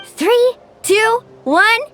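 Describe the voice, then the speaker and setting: A woman's voice: extremely high pitch, animated, extremely slow, over music, heavily acted. An actress voicing an upbeat, friendly young woman in an anime game, casual, bubbly and playfully teasing. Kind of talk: scripted anime game voice acting.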